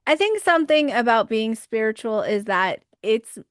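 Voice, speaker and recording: A woman's voice: fairly high pitch, lively intonation, normal speed, smooth, dry recording. Woman host, dry close sound. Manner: supportive, laughs, says "yeah" often. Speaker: podcast host. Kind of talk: casual interview chat.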